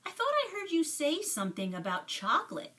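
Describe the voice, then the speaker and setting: Woman doing an eager schoolboy, bright and high. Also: huge pitch swings, brisk, far from the mic, full character voice. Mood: eager, chatty. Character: casual, eager schoolboy in a lunch line.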